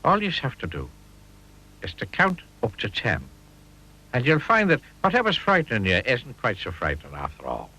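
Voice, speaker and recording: A man voicing a calm, kindly tramp who speaks softly and without hurry. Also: medium pitch, slightly rough, heavily acted, rough recording. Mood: gentle. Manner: soft, kindly, unhurried. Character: gentle, calm adult male tramp.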